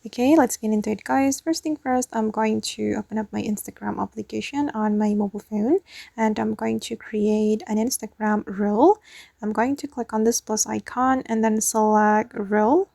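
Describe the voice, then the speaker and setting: A woman hosting a tutorial, narrating step by step with hesitant, drawn-out pauses. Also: fairly high pitch, gravelly, mellow, no echo. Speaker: tutorial host.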